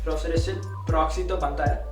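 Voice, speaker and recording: High-pitched young South Indian man, echoey. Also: steady tone, rapid-fire. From Hyderabad, India. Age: young adult. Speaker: college student. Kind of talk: casual testimonial about campus life. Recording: echoey room, distant mic, music behind.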